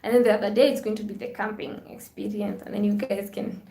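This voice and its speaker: A woman's voice: mid-range voice, level tone, fast, creaky, warm tone, reverberant, slight background noise. A woman hosting a live vlog stream, chatting casually with viewers, reading their comments and thanking them often.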